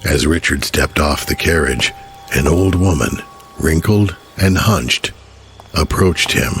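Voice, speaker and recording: A man's voice: rumbling low, slow pace, fairly bright tone, a little hiss or hum, low-quality recording. A man reading scripted story narration as the narrator of an audio drama. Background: music.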